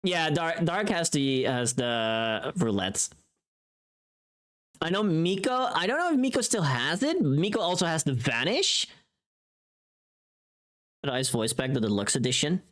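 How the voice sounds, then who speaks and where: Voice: mid-range voice, sing-song, a little slow, long pauses, a bit bright. A livestreamer chatting casually with viewers in a playful, teasing, rambling way. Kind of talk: casual chat with live viewers.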